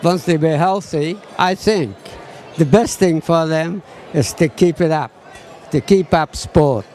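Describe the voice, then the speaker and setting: A man's voice: a little high, measured pace, darkish tone. A man, giving short, plain answers in an outdoor interview. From Poland. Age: 80s.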